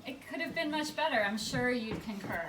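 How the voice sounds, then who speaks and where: A woman reading rhymed, scripted narration to a live audience as the presenter of a puppet show, in a storybook manner with deliberate pauses. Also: on the high side, lively intonation. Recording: distant mic, echoey room, noisy live recording.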